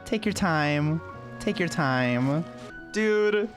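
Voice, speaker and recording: A game streamer thinks aloud in casual, slangy commentary. The delivery is slow and unhurried at a medium-low pitch, with faint music behind. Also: animated. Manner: informal, slangy, musing aloud.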